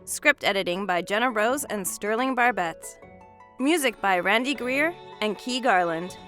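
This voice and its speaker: A woman's voice: mid-range voice, lively intonation, unhurried, fairly bright tone, faint music behind. A woman reading scripted production credits as an announcer.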